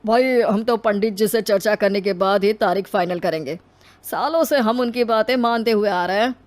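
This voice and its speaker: A woman voicing a conservative, measured middle-aged family man in a cartoon story. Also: medium pitch, close to an everyday voice. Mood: stern, opinionated.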